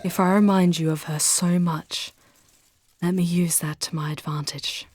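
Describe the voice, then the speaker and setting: Over noticeable background noise, a seductive female demon played by a woman speaks very slowly in a lowish voice. She is intense and protective, with theatrical, hushed phrasing suited to a dramatic ASMR roleplay. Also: fairly even intonation, lightly acted. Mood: tender, coaxing. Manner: theatrical, hushed, drawn-out phrasing, often slipping into whispers.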